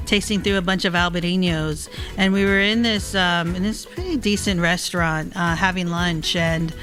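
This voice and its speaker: Bright-voiced woman in a casual interview. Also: lowish voice. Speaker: winemaker interview guest.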